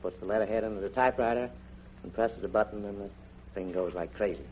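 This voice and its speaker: On a muffled, faintly noisy vintage radio recording, an American man from New York speaks in a fairly low voice. He is a quiz contestant who keeps to short, plain answers, polite and understated. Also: fast. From New York, United States. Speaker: quiz show contestant, salesman. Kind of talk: brief, plain answers in an on-air interview.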